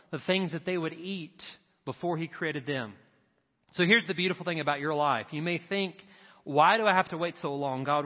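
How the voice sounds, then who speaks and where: A man preaching a sermon to a congregation, emphatic and repeating his key lines for effect. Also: on the high side. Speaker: pastor. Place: church sanctuary. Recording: reverberant hall, dull sound. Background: church congregation.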